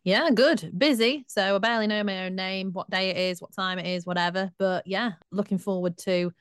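A woman, co-hosting a podcast in a brisk, upbeat, chatty way. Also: medium pitch, clean tone, no room sound, studio quality. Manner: brisk and upbeat, presenting the show. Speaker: co-host. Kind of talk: casual podcast chat.

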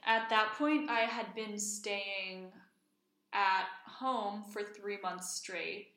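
A woman's voice: medium pitch, extremely slow, a bit bright. A young adult woman from Iceland chatting casually and emphatically as a podcast co-host. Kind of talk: casual chat. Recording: roomy, echoey sound.

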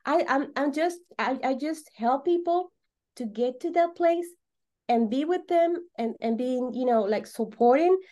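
A woman from Venezuela with a Venezuelan Spanish accent explains things as an interview guest, repeating words for emphasis in halting English. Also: high-pitched, dark tone. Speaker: podcast guest, holistic coach.

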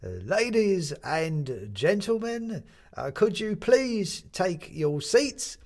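A man voicing a hesitant master of ceremonies who announces slowly, stumbling over fillers. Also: high-pitched, very animated, pitched above the performer's own voice, full character voice. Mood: announcing. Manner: halting, full of fillers.

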